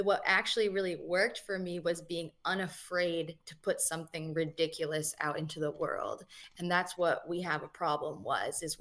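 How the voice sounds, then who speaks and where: A young woman from New England, a guest musician answering interview questions in a relaxed, chatty way with many fillers, over a video call. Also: lowish voice. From New England, USA.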